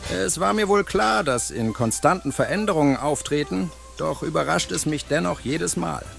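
A pompous village philosopher, acted by a man in a comic radio play. His fairly high voice is thick with creak as he lectures and over-analyses, music playing behind. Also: moderate pace. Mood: pompous, lecturing. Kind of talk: comic audio drama acting.

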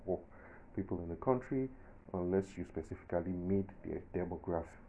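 A man's voice: deep voice, moderate pace, dark tone, a little hiss or hum. A man in his forties with a Nigerian accent, giving his own emphatic, indignant opinion in a monologue. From southern Nigeria. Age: 40s. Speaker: commentator.

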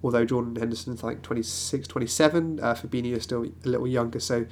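A man's voice: mid-range voice, steady tone, fast, a little mellow, a little hiss or hum. A man who has a cold and sounds nasal and snivelly. He hosts a solo football podcast and rambles along with restarts and fillers. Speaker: podcast host.

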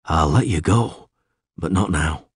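A man voicing a calm, terse, stony monster hunter in an audiobook, speaking in an unmoved, low-key way. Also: unhurried, breathy edge, no room sound, studio quality, heavily acted. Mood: stern. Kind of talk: audiobook character acting.

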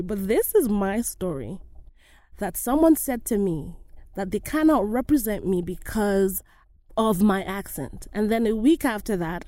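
A woman's voice: a little high, mellow, dry recording. A woman speaking passionately and emphatically in a personal vlog. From Africa. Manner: passionate, emphatic, rising exclamations. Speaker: actress.

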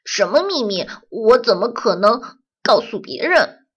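A woman voicing a defensive, sulky young boy in a children's audio story, speaking loudly and quickly. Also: on the high side, big pitch swings, slightly rough, exaggerated voice.

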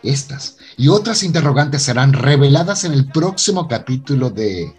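A man's voice: medium pitch, sing-song, normal speed, a little mellow, soft background music. A man narrating a radio soap opera in a dramatic, scripted storytelling style.

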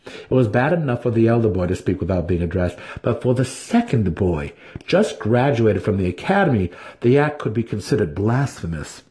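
A man's voice: lowish voice, big pitch swings, moderate pace, warm tone. A man giving a dramatic scripted narration as an audiobook reader.

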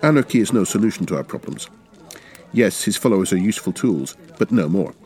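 A man voicing a calm, principled revolutionary debating doctrine in a story reading, earnest and argumentative. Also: lowish voice, a little fast, pitched above the performer's own voice, natural voice. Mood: earnest protest. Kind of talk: dramatic short story reading.

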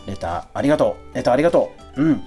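A man's voice: medium pitch, expressive, racing, warm tone, soft background music. A middle-aged man hosting a podcast, talking casually and conversationally on his own with a self-deprecating touch. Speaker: podcast host. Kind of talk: casual solo talk answering a listener letter.

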